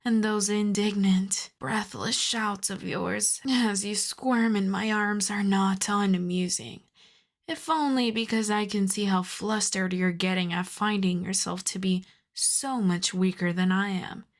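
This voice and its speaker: A woman acts out an aristocratic vampire countess, an older predatory lady with a mid-pitched voice. She speaks very slowly and deliberately, haughty and teasing, slipping toward breathy whispers in an intimate ASMR roleplay style. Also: fairly even intonation, close to an everyday voice. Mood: amused, domineering. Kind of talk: ASMR roleplay, slow theatrical monologue.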